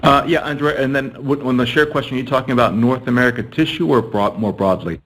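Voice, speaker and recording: A man's voice: mid-range voice, quick pace, fairly bright tone, a little hiss or hum, low-quality recording. A man, a company executive, answering analyst questions on an earnings call and often repeating words as he thinks.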